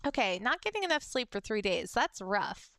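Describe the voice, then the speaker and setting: A young adult woman with a high-pitched voice, chatting casually on a livestream and reading fan messages, bubbly and giggly. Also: sing-song, moderate pace, fairly bright tone, dry recording, studio quality. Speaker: VTuber streamer.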